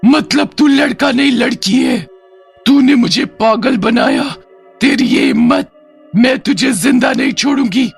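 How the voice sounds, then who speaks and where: A man's voice: very high-pitched, unhurried, vocal fry, husky, faint music behind, voice pushed up, exaggerated voice. A man voicing a possessive, vengeful veiled female witch who delivers commanding threats in a cartoon moral story. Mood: furious.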